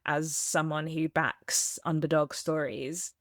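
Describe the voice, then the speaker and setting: A woman's voice: deep voice, little pitch movement, slow pace, fairly bright tone, no echo, clean studio sound. A woman hosting a podcast, asking her guest a question hesitantly and searching for words. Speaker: podcast host. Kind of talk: interview question.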